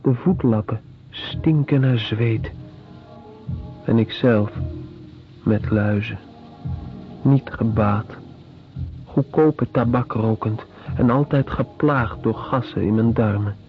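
An actor playing a weary male prisoner in a radio drama, speaking in a measured, deliberate, descriptive monologue. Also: mid-range voice. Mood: weary, bleak. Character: weary male prisoner recalling squalid penal-colony life. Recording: dull radio recording with music.

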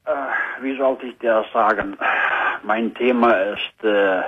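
A man in his fifties with a Dutch accent, calling a radio show and searching haltingly for German words. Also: fairly low pitch, steady tone, breathy edge. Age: 50s. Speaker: caller. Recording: tinny phone line.

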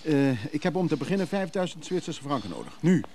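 A man playing a brisk schemer giving urgent, secretive orders on the phone in a radio play, halting and commanding. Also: on the high side, big pitch swings, mellow, a little hiss or hum.

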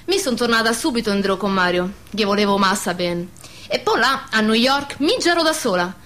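An actress playing an affectionate, boastful emigrant widow back from America, speaking with a Veneto accent in a radio play. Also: female voice, mid-range voice, lively intonation, brisk, fairly bright tone, lightly acted. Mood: boastful, sentimental. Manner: self-important, dropping in English words. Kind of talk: dialect radio theatre dialogue.

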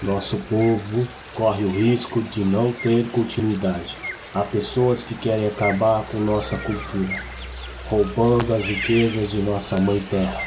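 A grave village shaman played by a man with a lowish voice and a Brazilian accent. He teaches patiently and deliberately, like a mentor in an audio storybook. Clear ambient noise sits behind the voice. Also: flat delivery, close to an everyday voice. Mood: grave, solemn. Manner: measured, grave, instructive. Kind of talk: scripted audio story acting.

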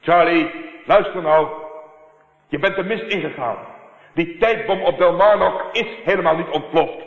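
A man's voice: fairly high pitch, unhurried, breathy edge. An actor playing a bold, determined male hero in a radio play, speaking in an urgent, commanding, insistent way. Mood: tense, persuading. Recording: narrow-band radio recording, faint noise.

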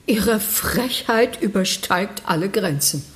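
An actress playing a senior European official, curt and dryly mocking. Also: female voice, medium pitch, expressive, slow pace. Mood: brusque, sarcastic. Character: brusque, sarcastic high-ranking female bureaucrat. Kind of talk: radio drama acting.

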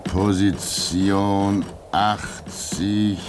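An actor playing a lonely, pious radio operator at an Arctic station in a radio play, praying and chanting slowly. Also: male voice, low voice, little pitch movement, noisy background. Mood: prayerful. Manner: slow, prayerful, half-singing.

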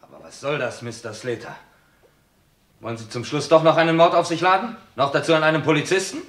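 A man's voice: fairly high pitch, fairly quick, a bit bright, natural voice. An actor playing a firm Scotland Yard policeman in a radio crime play, authoritative and insistent, in an echoey room. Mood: persuasive. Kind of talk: vintage German radio crime play acting.

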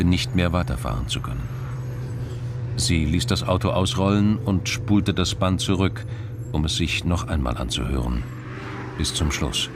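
An actor narrating a radio crime drama as a sober, measured storyteller. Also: male voice, a bass voice, background noise. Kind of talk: scripted literary narration.

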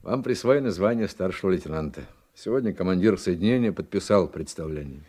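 A senior naval officer in a radio drama. He speaks in a low voice as a gruffly kind, fatherly mentor. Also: expressive, a little fast, dark tone. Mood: kindly. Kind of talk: radio play acting.

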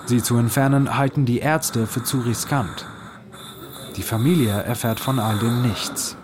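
A man's voice: medium pitch, a little slow, noisy background, natural voice. A man reading clinical, scripted narration in a radio play, in a mid-range voice.

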